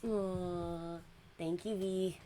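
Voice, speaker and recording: A young woman hosts a relaxed streamed chat at an unhurried pace, with frequent giggles. Her voice sounds a little worn, as if she is losing it. It is a home streaming setup with a faint hiss behind her. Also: fairly low pitch. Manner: giggly, laughs often between words. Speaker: podcast host and VTuber.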